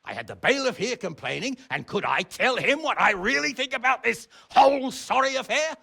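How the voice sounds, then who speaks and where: An actor playing a stern, exasperated noble uncle in a medieval video game, blustering, sarcastic and blunt. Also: male voice, extremely high pitch, animated, moderate pace, creaky, sharp tone, slightly rough, full character voice. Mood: angry scolding. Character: stern, exasperated noble uncle scolding his wayward ward. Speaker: medieval lord and guardian.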